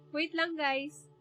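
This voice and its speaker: A woman's voice: sits high, a bit bright. A woman with a Filipino accent casually chatting and reacting aloud while streaming a game. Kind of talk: casual live commentary while gaming.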